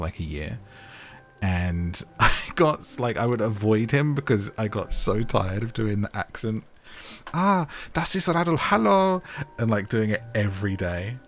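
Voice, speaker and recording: Low-pitched playful man. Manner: playful, chatty, improvising. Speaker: gaming video host. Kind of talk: casual gameplay commentary.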